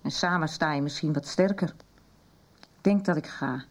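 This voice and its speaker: A woman's voice: sits low, normal speed. An actress playing a troubled middle-aged mother in a radio soap, guarded and ashamed about her drinking. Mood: confiding, ashamed. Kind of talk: 1990s Dutch radio drama acting.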